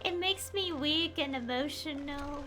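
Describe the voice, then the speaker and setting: A woman giving casual live game stream commentary in a halting, self-conscious way. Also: sits high, loud music behind the voice.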